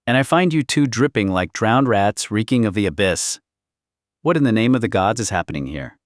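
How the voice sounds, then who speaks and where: A commanding queen , as a male audiobook narrator reads her, grave and imperious in a historical saga. The voice is low and sits in a dry, close sound. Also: expressive, normal speed, no echo, close to an everyday voice. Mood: horrified. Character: commanding middle-aged queen, an anxious, grave mother. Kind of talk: audiobook character dialogue.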